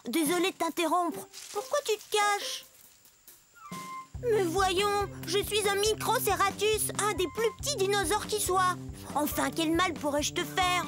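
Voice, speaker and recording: A woman's voice: very high-pitched, a little slow, over music. A woman voicing a tiny, cheeky female horned dinosaur in a children's cartoon, teasing in a matter-of-fact way. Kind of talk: animated children's educational cartoon acting.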